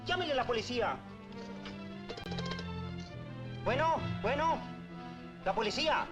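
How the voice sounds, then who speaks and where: An excitable Mexican waterfront local in a vintage film. He is a native Spanish speaker with a high, very animated voice and a Mexican accent. Faint music sits behind him. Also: quick pace. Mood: urgent. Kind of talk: 1950s film acting.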